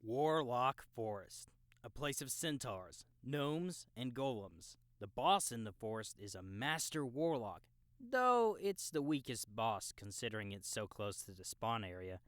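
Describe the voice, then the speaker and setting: A man's voice: medium pitch, sing-song, unhurried, fairly bright tone, dry recording, studio quality. A man playing an easygoing young experienced game player who explains the world to a newbie in a casual, knowing way, in an audiobook. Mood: knowing, explanatory. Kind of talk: audiobook character acting, explanatory dialogue.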